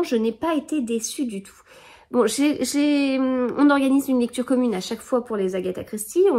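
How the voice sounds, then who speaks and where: A woman's voice: on the high side, mellow. A woman in her thirties hosting a book podcast, chatting casually and rambling with many fillers and restarts, recorded at home in front of a bookshelf. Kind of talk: casual chat about books. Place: living room in front of a bookshelf.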